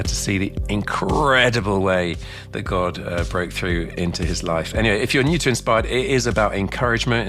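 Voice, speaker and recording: Man, podcast host, very low voice, enthusiastic, faint music underneath. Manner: enthusiastic, warm-hearted welcomes. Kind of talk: podcast interview.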